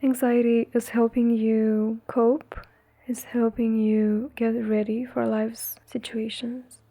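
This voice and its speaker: A woman leading a guided meditation in a soothing, breathy, gentle way, leaving long pauses between phrases. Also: fairly high pitch, little pitch movement. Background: faint music.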